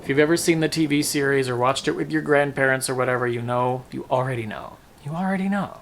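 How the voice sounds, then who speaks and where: A man's voice: mid-range voice, fast, creaky, a little hiss or hum. A man talking casually as a book-review vlogger, with a wry, playful, emphatic delivery that draws out words. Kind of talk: casual, chatty book wrap-up.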